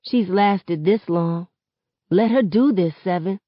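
A woman's voice: on the low side, slow, no room sound, lo-fi sound. A woman voicing a loyal, sad but firm teenage girl in an audiobook, plain-spoken and slangy. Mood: urging. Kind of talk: audiobook character acting.

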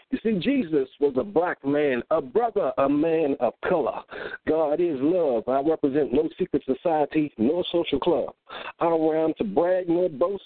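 A dry, narrow phone-like recording carries a man's voice, pitched a little high. He performs rhymed spoken word like a sermon. His phrasing is rhythmic, earnest and emphatic. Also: no echo. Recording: dry, thin phone-like sound.

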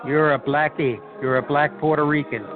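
A man with a slightly high voice trades insults over a thin, phone-like line. He hammers the same jabs again and again. Music plays clearly behind him. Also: level tone, moderate pace. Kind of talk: heated argument in a voice chat.

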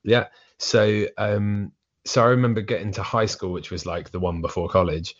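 A man's voice: fairly low pitch, fairly even intonation, moderate pace. A man talking about his past as a podcast guest, chatty and meandering. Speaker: guest drummer. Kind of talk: casual storytelling. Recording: dull lo-fi audio.

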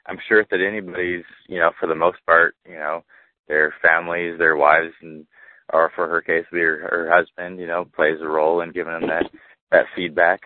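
A man hosting a casual political chat, measured and summarizing, with many fillers. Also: low-pitched, level tone. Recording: tinny phone-like line, dry.

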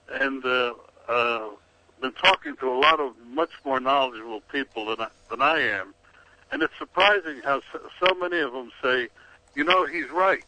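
A man who works as a reporter, talking on a radio show in a halting, hesitant way, with many restarts. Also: medium pitch, noisy background. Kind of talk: radio talk show guest.

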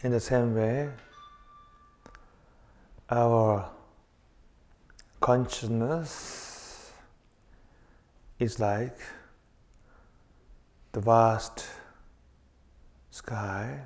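A man leading a guided meditation in short, contemplative phrases with long pauses between them. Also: mid-range voice, fairly even intonation. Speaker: meditation teacher. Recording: long reverberant hall echo.

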